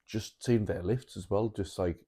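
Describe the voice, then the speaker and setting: A man co-hosting a podcast, talking in a relaxed, casual way with many repeated words. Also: lowish voice, normal speed, breathy edge. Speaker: podcast co-host. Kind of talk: casual chat.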